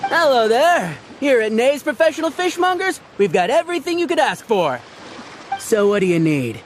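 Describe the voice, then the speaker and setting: A fussy, high-voiced fishmonger. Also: male voice, normal speed, a little hiss or hum. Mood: indignant. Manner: indignant, lively street-hawker patter. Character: fussy proud male fish seller. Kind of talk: video game cutscene dialogue. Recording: game audio with background music.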